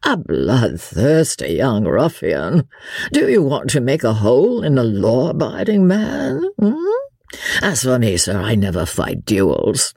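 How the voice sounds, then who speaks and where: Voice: low-pitched, measured pace, creaky, hardly any pauses, breathy edge, no room sound, studio quality, voice pushed down, heavily acted. A narrator voicing a lazy, foppish English baronet with a bland, sleepy, good-humoured British drawl and an inane chuckle. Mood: leisurely good humour.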